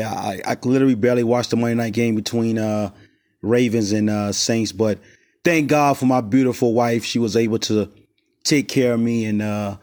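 A man hosting his own sports podcast in a loose, rambling way, voice raspy while he recovers from a stomach virus, recorded on a cell phone outside his studio. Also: medium pitch, darkish tone. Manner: loose, rambling, many fillers and restarts. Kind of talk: casual sports talk. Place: not in the studio.

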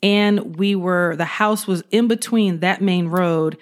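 A woman police officer telling an animated story in an interview, acting out what she said at the time. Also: on the low side, slow. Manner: animated, acts out her own quotes.

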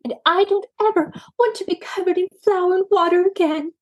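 A woman voicing a timid young girl kitten who pleads in a high, whiny voice. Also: lively intonation, a little slow, darkish tone, lo-fi sound. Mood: chatty.

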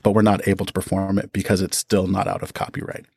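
A man's voice: low voice, completely flat delivery, fast talker, vocal fry, darkish tone, no room sound, studio quality. A man answering interview questions as a podcast guest, explaining his craft conversationally and with enthusiasm. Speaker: audio drama producer and performer, podcast guest.

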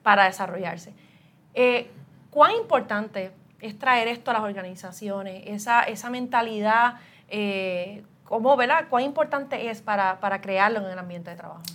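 A woman's voice: medium pitch, normal speed, fairly bright tone. Woman hosting an interview. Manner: conversational, frequent "¿verdad?" tags and restarts while forming questions. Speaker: host.

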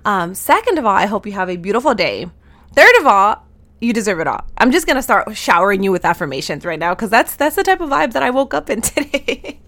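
A woman in her thirties hosting a solo podcast, bubbly and affirming, her voice slightly nasal and raspy today. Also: mid-range voice, big pitch swings, brisk, fairly bright tone. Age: 30s. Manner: bubbly, affirming, with slang and laughs. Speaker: podcast host and soul mentor. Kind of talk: upbeat solo podcast talk.

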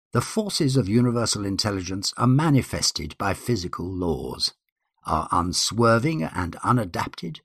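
A man giving a formal scripted reading of numbered statements in a deliberate, declamatory way with measured phrasing. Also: low-pitched, husky, dry recording, clean studio sound. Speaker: guest reader.

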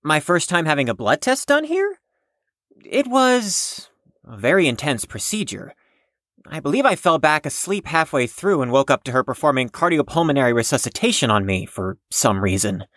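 Formal anxious patient, low voice, lively intonation, precise, dry audio drama recording. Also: female voice, moderate pace, no room sound, exaggerated voice. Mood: formal, earnest. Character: prim, wordy, anxious patient with formal speech.

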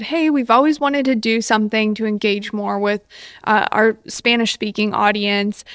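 A woman's voice: a little high, a bit bright, dry recording, studio quality. A woman guest on an interview podcast, explaining her work in long, enthusiastic, flowing answers. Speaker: guest, executive director. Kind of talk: conversational interview.

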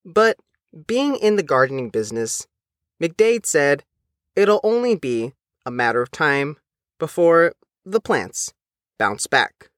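A man's voice: fairly high pitch, big pitch swings, slow, a bit bright, no room sound, studio quality. A man reading a scripted news report as a reporter.

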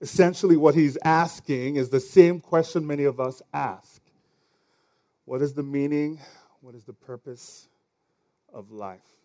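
A male pastor with a Nigerian accent delivers a sermon in a conversational way. His voice sits a little high for a man, and he restarts phrases and trips over words as he goes. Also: a little slow, long pauses, warm tone. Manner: conversational, stumbles over words, chuckles now and then.

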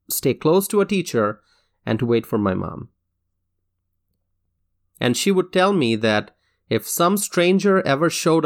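A man from Bangladesh hosting a solo talk show, speaking in an earnest, measured way with occasional restarts. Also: medium pitch. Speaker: podcast host. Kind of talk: reflective solo commentary.

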